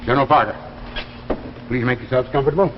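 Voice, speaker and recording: An actor playing a firm, persuasive recruiter for a secret space project in a 1950s science fiction drama, measured, grave and insistent. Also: male voice, medium pitch, fairly even intonation, noisy background, lightly acted. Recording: echoing, dull vintage 1950s broadcast, faint music.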